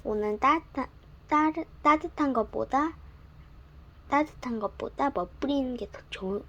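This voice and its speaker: A woman narrating a bedtime storybook in a gentle, expressive reading style. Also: sits high, unhurried, muffled recording.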